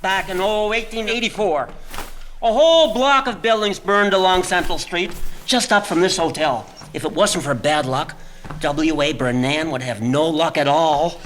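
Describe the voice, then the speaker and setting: Man acting a high-voiced, self-assured husband. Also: moderate pace, fairly bright tone, slight background noise, lightly acted. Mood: reflective. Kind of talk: radio drama acting.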